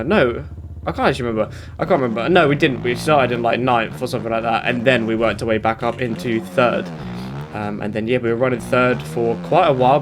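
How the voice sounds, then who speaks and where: A British man with a blocked nose from hay fever, casually rambling through gameplay commentary with lots of fillers and self-corrections. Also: mid-range voice. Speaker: gaming YouTuber. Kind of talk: casual commentary while playing. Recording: game engine noise behind. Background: motorbike game engine sounds.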